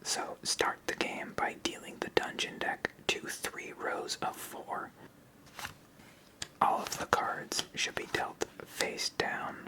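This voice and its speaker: A host of unclear sex speaks entirely in a whisper, in the style of an ASMR tutorial. The pace is very slow and unhurried. Each step is explained softly and patiently, one after another. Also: rough recording. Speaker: host of a card game channel.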